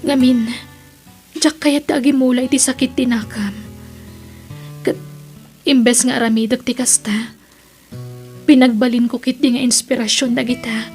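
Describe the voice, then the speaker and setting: A woman's voice: a little high, fairly even intonation, a little slow, pauses often, a little mellow, soft background music. A woman playing a hurt but forgiving grown daughter in an Ilocano radio drama, speaking earnestly and emotionally. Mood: tender, hurt.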